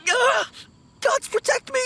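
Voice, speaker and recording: A man's voice: very high-pitched, slow pace, creaky, very noisy, exaggerated voice. A man playing a timid young undertaker in a video game, nervous and polite in the way he talks. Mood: panicked. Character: timid, jumpy young male undertaker, new on the job. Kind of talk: video game character acting.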